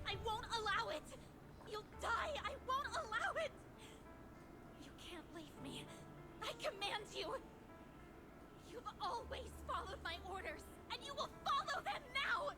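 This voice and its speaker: An actress playing an imperious, wounded young heiress in a video game, theatrical, commanding and highly emotional. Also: female voice, big pitch swings, unhurried, heavily acted. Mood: desperate fury. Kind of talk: dramatic video game voice acting. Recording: background music under the voice.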